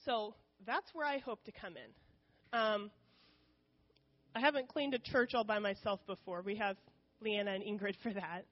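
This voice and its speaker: A woman's voice: medium pitch, fairly even intonation, moderate pace. Casual woman, muffled recording. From United States. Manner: conversational, frequent ums, candid. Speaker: missionary speaking to her church. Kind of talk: informal church missions presentation.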